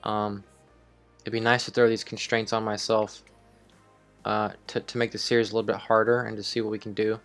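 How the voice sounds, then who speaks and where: A man's voice: on the low side, little pitch movement, a little fast, a bit bright, soft background music. A man hosting a video game series, thinking aloud in casual, rambling commentary with some offhand swearing. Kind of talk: casual improvised gameplay commentary.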